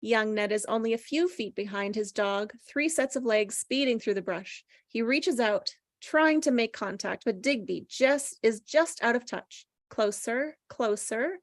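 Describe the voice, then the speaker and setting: Woman reader, fairly high voice, dry sound, casual talk and screenplay narration. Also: unhurried, few pauses, no echo. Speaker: table read participant reading action descriptions. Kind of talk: casual chat and scripted narration.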